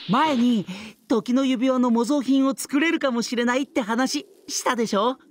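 アニメゲームで、遊び好きで得意げな若い男性の科学者の策士を演じる女性。からかうように、もったいぶって話す。. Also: female voice, high voice, moderate pace, lightly acted. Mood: playful, boastful. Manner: teasing, sing-song showing off. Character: playful, smug young male mad-scientist schemer. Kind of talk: anime game voice acting.

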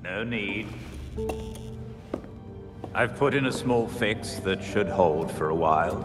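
In a reverberant hall with music playing, a loyal older butler for a video game. His low voice is calm, formal and courteous. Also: slow. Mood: calm, devoted. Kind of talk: video game cutscene acting. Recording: long hall reverb, loud music behind.

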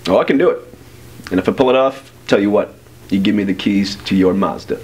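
A man's voice: fairly low pitch, a little fast, a little mellow, close to an everyday voice. A young man acting a smug, slangy, shady stranger offering magical deals in an amateur short film. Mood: persuasive, cocky.

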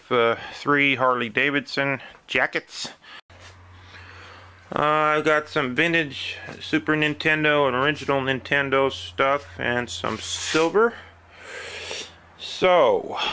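A man's voice: mid-range voice, pushed and bright, clear, resonant tone. A middle-aged man narrating a casual handheld vlog about storage auctions, dry and matter-of-fact, recorded outdoors on a camera mic. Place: outdoors at a swap meet and a storage unit.

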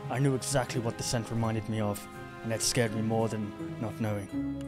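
A rain-soaked crime game's narrator, a haunted detective acted by a man brooding in measured lines over the soundtrack. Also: on the low side, fairly even intonation, over loud music, lightly acted. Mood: tense, brooding. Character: brooding, haunted noir male detective, self-doubting monster.

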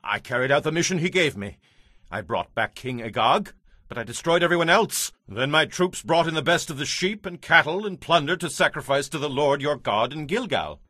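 A man's voice: fairly high pitch, expressive, normal speed, bright, forceful tone, no echo, studio quality. An actor playing a weak-willed, self-justifying ancient king in an audio Bible drama, defensive and pleading in manner.

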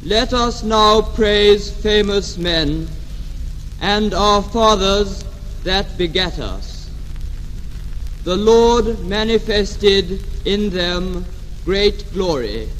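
Young British RP male, high-pitched and extremely slow, solemn scripture reader, long abbey reverb on a vintage record. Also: fairly bright tone. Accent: British received pronunciation. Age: young adult. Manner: solemn, measured, declamatory. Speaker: head boy reading a lesson. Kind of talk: formal scripture reading. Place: large stone abbey. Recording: echoing abbey, noisy 1950 LP recording.

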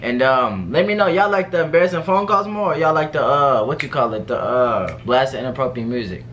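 Young guy doing slangy reaction video chat, bright punchy voice, some noise behind him. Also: medium pitch, animated, a little fast, highs cut off. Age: young adult. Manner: slangy, informal, repetitive. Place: indoor room at home.

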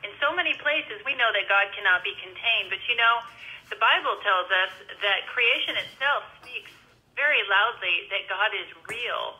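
A woman's voice: mid-range voice, animated, normal speed, sharp tone, rough recording. A woman hosting a radio interview show, upbeat and friendly, speaking into a headset mic. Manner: upbeat, prays on air.

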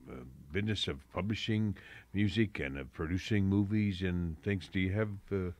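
A man interviewing casually as a radio disk jockey, halting as he searches for words. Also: low voice, darkish tone.

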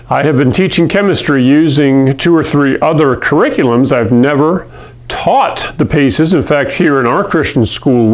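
A middle-aged man from Hawaii teaching chemistry to camera in a classroom, talking in a friendly, conversational way and often clearing his throat. He speaks into a lapel mic. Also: mid-range voice. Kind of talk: video lesson to camera. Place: classroom in front of a whiteboard.

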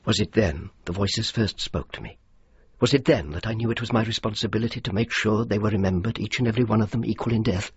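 Earnest English official, slightly muffled. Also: male voice, lowish voice, husky. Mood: reflective. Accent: English, educated. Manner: formal, measured, earnest. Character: earnest, dutiful middle-aged English civilian official, courteous yet insistent. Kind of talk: radio drama acting and reflective narration. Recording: slightly muffled, faint noise.

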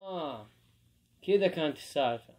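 A man's voice: on the high side, lively intonation, normal speed, a little mellow. A young man, hosting a challenge video and chatting directly to viewers. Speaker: channel host. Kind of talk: vlog challenge intro.

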